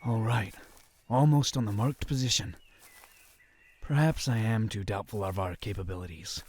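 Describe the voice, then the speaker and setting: An actor playing a disciplined, dry-witted young crusader in a medieval fantasy audio drama, speaking in measured, formal phrases. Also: male voice, lowish voice, a little mellow, breathy edge. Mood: tense self-doubt. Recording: faint background noise.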